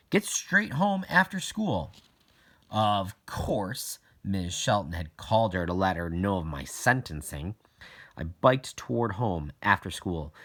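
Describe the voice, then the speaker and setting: A man reading a children's novel aloud as a first-person narrator. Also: lowish voice. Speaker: audiobook reader.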